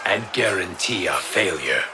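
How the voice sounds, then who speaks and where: An actor playing a wary, grave ally in a fantasy video game, speaking formally and dramatically. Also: male voice, low voice, animated, unhurried, background noise.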